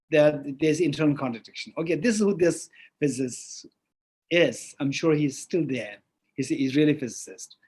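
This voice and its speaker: A man's voice: fairly high pitch, breathy edge. A middle-aged man with as his gives a Buddhist teaching, asking himself questions and answering them, with many restarts. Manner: lively, rhetorical self-questioning with frequent restarts. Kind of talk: dharma teaching.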